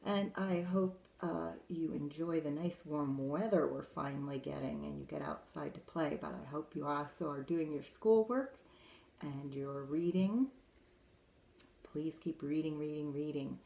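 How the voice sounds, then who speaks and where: A woman librarian gently and encouragingly talking to children after reading them a story. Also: deep voice, measured pace, vocal fry. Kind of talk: reading a picture book aloud to children. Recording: roomy echo.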